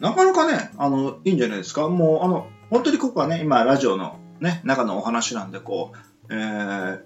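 Animated, joking male podcast host. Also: mid-range voice. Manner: joking, playful, rambling with hesitations. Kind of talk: casual chat, light banter. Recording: dull lo-fi audio with faint music.

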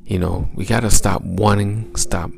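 Gravelly deep-voiced male motivational coach. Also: fairly even intonation, a little slow, a little mellow. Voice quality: smooth, gravelly low voice. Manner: upbeat, repetitive coaching exhortations. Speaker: podcast host and mindset coach. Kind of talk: motivational podcast talk.

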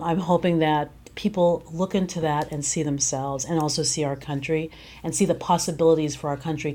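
A woman's voice: sits low, warm tone. An American woman, middle-aged or older, talking reflectively as a guest playwright in an interview, explaining thoughtfully and building points through repeated phrases.

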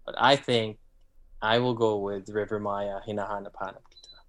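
A man's voice: on the low side, moderate pace. Guy in his 30s from the philippines, chill nostalgic chatter, um and like a lot. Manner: relaxed and reminiscing, with fillers. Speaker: podcast guest. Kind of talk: casual chat.

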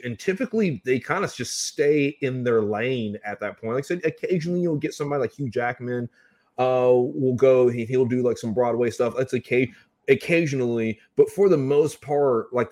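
A man's voice: medium pitch, darkish tone. Earnest man co-hosting a live show. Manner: earnest, explains points, addresses the audience. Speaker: co-host of horror review show. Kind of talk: casual livestream chat.

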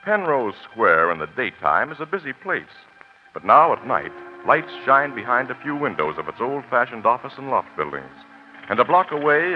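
A man's voice: mid-range voice, a little hiss or hum. Male announcer for vintage crime radio, widely swinging intonation, polished dramatic narration, muffled sound. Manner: polished, deliberate, dramatic delivery. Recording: muffled old-time radio recording.

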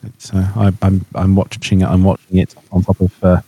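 A man co-hosting a podcast, chatting casually in a halting, stop-start way. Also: deep voice, monotone, warm tone, breathy edge. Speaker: podcast co-host. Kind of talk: casual chat.

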